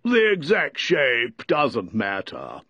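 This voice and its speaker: A man's voice: medium pitch, huge pitch swings, bright, forceful tone, husky, dry recording, highs cut off, pitched above the performer's own voice, heavily acted. A man voicing a solemn, self-important dodo who makes ponderous, pompous pronouncements. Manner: solemn, pompous, drawn-out speech-making.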